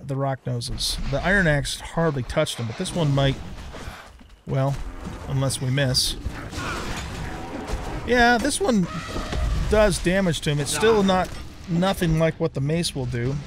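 A man's voice: on the high side, background noise. A middle-aged man giving casual gameplay commentary, rambling and thinking aloud as he hosts a let's play.

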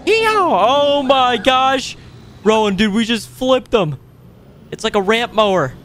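A man hosting a gaming video with casual, playful roleplay commentary, excitable and given to exclaiming. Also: extremely high pitch, a little slow, slight background noise. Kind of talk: casual improvised roleplay commentary over gameplay.

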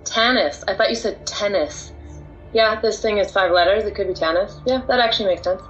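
A woman's voice: medium pitch, brisk, soft background music. Muffled call: blunt young female hacker, casual and unimpressed, audio drama. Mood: dry, skeptical. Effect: internet call filter. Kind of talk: naturalistic audio drama dialogue. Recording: dull lo-fi internet call.

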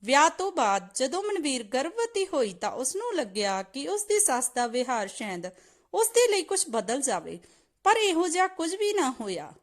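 Female storyteller, high voice with lively swings, reading scripted family tales. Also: normal speed. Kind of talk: scripted moral story narration.

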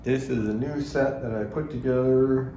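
A man's voice: mid-range voice, fairly even intonation, very slow, dark tone, slight background noise. A man, chatting casually in a large studio room. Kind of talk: casual chat. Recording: music over the voice.

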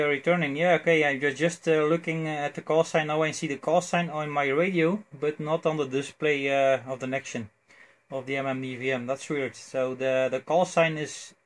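A man with a Belgian accent chats casually as an amateur radio operator, phrasing things haltingly. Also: a little high. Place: indoors at a cluttered electronics desk. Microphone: cell phone near the desk.

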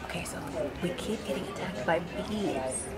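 A woman's voice: lowish voice, animated, moderate pace, over loud music, a loud crowd. A woman in her late twenties chatting casually and jokingly with friends in a vlog. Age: late 20s. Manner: playful, joking. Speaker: vlogger. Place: canvas beach cabana, open air. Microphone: handheld vlogging camera.

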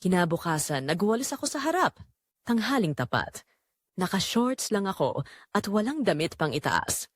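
Woman narrator with lively, swooping pitch. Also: normal speed, dry recording, clean studio sound. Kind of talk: scripted first-person audio drama narration.

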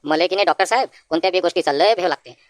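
A woman's voice: on the low side, very fast, no room sound, clean studio sound, lightly acted. A woman voicing an anxious, naive village man in a comic cartoon, rambling with hesitant fillers in a rural Vidarbha Marathi accent. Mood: fretful. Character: anxious rustic village man, naive worrier visiting a doctor.

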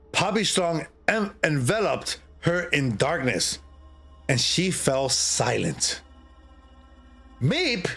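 Middle aged guy reading scary story, slow n dramatic, pitch swooping around, music behind. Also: a bit bright, breathy edge. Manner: theatrical, halting delivery with repeated phrases. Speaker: streamer, horror story reader. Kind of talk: dramatic storytelling read aloud.

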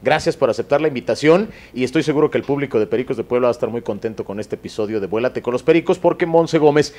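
A man's voice: medium pitch, fast talker, darkish tone. A middle-aged Mexican man, an effusive and teasing podcast host, chatting casually into a handheld microphone. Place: small indoor room with stone wall.

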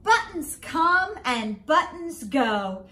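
A middle-aged woman reading a picture book aloud to children in an expressive, deliberate way. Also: high voice. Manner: expressive, slow and deliberate for children, with sing-song refrains. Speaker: school principal, guest story reader. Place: small home room, indoors.

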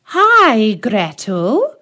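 A shrill, greedy old witch from a storybook, barking orders with a cruel edge, as a woman reading the tale puts her on. The voice jumps high and sharp, swooping wildly between words. She sounds old, wicked and impatient. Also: huge pitch swings, slow pace, heavily acted. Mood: commanding. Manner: snapping, commanding.

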